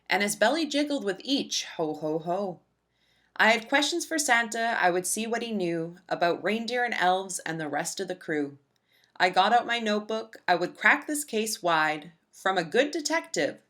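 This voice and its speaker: Lady doing kids story time, rhymey book, super expressive, bright voice going up and down. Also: medium pitch. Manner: animated, deliberate storytelling for young children. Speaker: children's book read-aloud narrator.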